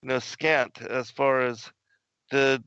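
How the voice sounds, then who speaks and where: A man hosting a casual interview, asking long, relaxed questions full of fillers. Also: medium pitch, slow, smooth, no echo, muffled recording. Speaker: host.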